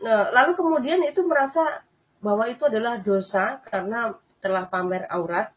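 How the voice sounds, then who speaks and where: A woman's voice: fairly high pitch, normal speed. Female host, casual chatty commentary, keeps addressing listeners, muffled recording. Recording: dull lo-fi sound.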